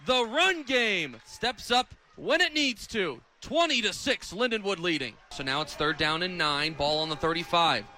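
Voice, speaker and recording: A man in his twenties calling live American football on radio as play-by-play announcer, with an animated, excitable delivery. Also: high-pitched, moderate pace, hardly any pauses. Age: 20s. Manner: animated, builds from clipped calls to excited shouting. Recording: stadium crowd behind the commentary. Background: stadium crowd.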